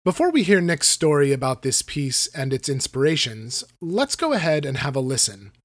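A man's voice: mid-range voice, big pitch swings, normal speed, no echo. Dry-voiced male podcast host. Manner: conversational, asks friendly follow-up questions. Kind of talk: podcast interview.

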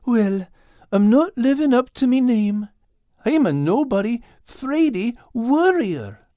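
A woman's voice: a little high, big pitch swings, slow pace, warm tone, no room sound, clean studio sound, pitched above the performer's own voice. A woman voicing a humble, dopey, apologetic male cat with an Irish accent, sweet and cowardly, in a storybook. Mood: dejected. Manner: sheepish, self-deprecating.

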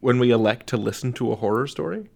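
A man's voice: mid-range voice, fast talker, vocal fry. Conversational male podcast interviewer.

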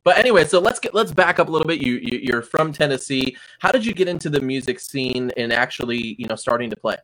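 Host brief: man interviewing a musician, unhurried and hesitant, steady mid voice. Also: mid-range voice, low-quality recording. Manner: hesitant, many "um" and "uh" pauses. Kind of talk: conversational interview.

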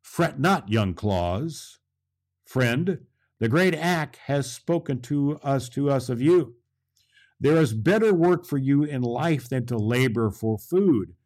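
A small, kindly nature sprite from a storybook, read by a man. It declaims in formal, old-fashioned phrases meant to reassure, with a very animated, swooping pitch. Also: slow pace. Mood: reassuring, solemn. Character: yellow ryle, kindly little flower sprite, formal and reassuring.

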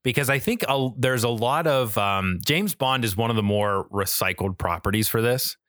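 A man's voice: mid-range voice, moderate pace, fairly bright tone, no room sound, clean studio sound. An American man in his thirties chatting casually as a podcast co-host, enthusiastic, rambling and freely profane. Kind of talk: casual chat.

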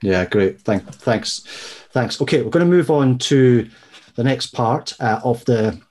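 A man's voice: medium pitch, lively intonation, unhurried, darkish tone. A man hosting a podcast, leading the talk in a hesitant, casual way. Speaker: podcast host. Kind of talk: casual chat.

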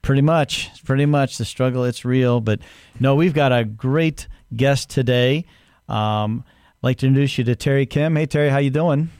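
A man's voice: mid-range voice, moderate pace, a little mellow, clear, resonant tone, dry recording, clean studio sound. A man hosting a podcast, introducing a guest and asking loose, rambling questions. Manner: friendly, rambling questions with restarts. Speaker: podcast host. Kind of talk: casual interview.

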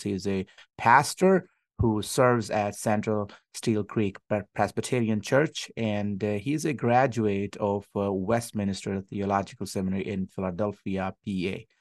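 Man in his thirties, pastor hosting a chatty podcast interview, low voice, lots of "uh". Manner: warm-up chatter with many uh fillers, slightly formal phrasing. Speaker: podcast host and senior pastor. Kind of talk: casual interview.

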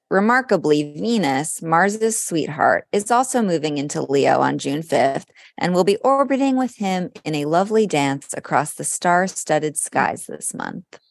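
A woman's voice: low-pitched, very animated, normal speed, gravelly, few pauses, no echo. A woman co-hosting a podcast in casual chat, reading horoscopes aloud in a conversational way with some dramatic delivery. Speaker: podcast co-host.

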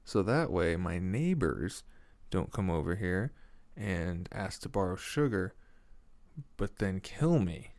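A young man with a deep voice hosting a casual solo podcast, laid-back and swearing often, chuckling at his own stories. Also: big pitch swings, slow pace, a little mellow, dry recording. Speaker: podcast host. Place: small home room with foam on the walls. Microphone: studio mic at a desk.

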